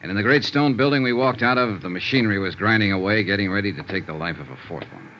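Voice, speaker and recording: An actor playing a world-weary, wry insurance investigator in a vintage radio noir drama, with terse, punchy delivery. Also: male voice, lowish voice, fast talker, lightly acted. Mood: grim, sardonic. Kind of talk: 1950s radio noir acting and narration. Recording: dull, noisy old radio recording.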